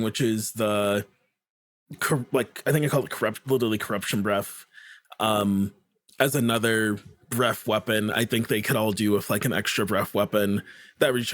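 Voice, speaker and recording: A man's voice: mid-range voice, no room sound. Loose, swearing man, dry close sound. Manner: loose, animated, swears casually. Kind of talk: casual chat about tabletop games.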